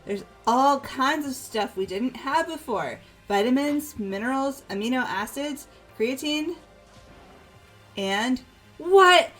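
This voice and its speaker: Woman as athletic teen girl, game character, bubbly, excitable, fairly high voice. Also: slow, soft background music, exaggerated voice, low-quality recording. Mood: eager. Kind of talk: amateur fully voiced game playthrough.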